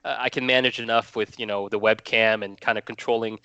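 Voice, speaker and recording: A man's voice: lowish voice, steady tone, fairly quick, sharp tone, clean tone, no echo, dull audio. A young man from Norway chatting casually as a guest, rambling and restarting his phrases. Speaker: guest hobbyist. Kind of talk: casual chat.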